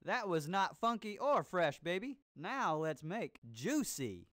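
A groovy seventies disco cooking show host for a cartoon game. He is hammy and upbeat, stretching his words slowly and swinging through wide pitch swoops. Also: very animated, heavily acted. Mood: groovy, playful. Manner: hammy, swinging, drawn-out delivery. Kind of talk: cartoon game voice-over with 1970s disco slang.

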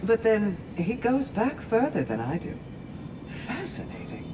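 An actress playing a composed, curious Valkyrie warrior in a video game, formal and thoughtful. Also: female voice, a little high, big pitch swings, unhurried. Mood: composed, intrigued. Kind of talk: dramatic video game acting. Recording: game audio with background noise.

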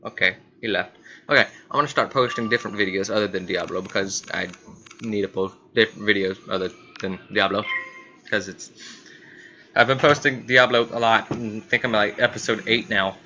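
Sharp, low-voiced man gaming. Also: steady tone, brisk, dull audio. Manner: rambling, repeats himself, thinking aloud. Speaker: gaming channel host. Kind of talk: casual let's play commentary. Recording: faint background noise.

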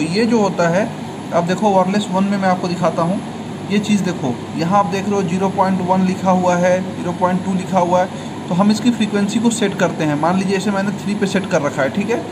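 A young man gives an informal how-to tutorial, often adding a short checking tag after each explanation. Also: high-pitched. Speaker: video tutorial host. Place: indoors at a table. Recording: noisy room, clear background hum.

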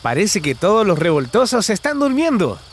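Man, children's cartoon narrator, high voice, swooping singsong delivery, exaggerated, talks to characters. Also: sing-song, moderate pace, slight background noise. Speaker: narrator talking to cartoon vehicles.

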